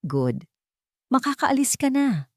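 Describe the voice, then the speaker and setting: A woman's voice: high voice, big pitch swings, takes it slowly, dry recording, clean studio sound, voice pushed up, exaggerated voice. A woman voicing a cold, brooding rich man who gives curt commands in an audio storybook. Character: cold, stern, brooding wealthy master of the mansion, man.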